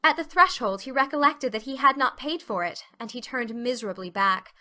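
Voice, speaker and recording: Female narrator, scripted audiobook reading, fairly high pitch, crisp bright tone. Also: brisk.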